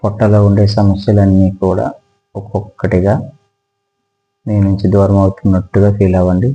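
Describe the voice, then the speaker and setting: Low, even-toned man guiding relaxation. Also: highs cut off, a little hiss or hum. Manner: slow, calm, soothing instruction with pauses. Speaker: yoga instructor. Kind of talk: guided relaxation meditation.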